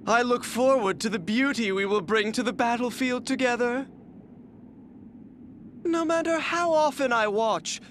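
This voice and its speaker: A flamboyant, beauty-obsessed video game warrior, speaking in a strikingly high, effeminate voice. He takes his time over every flowery phrase, refined and theatrical. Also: a bit bright, slight background noise, exaggerated voice.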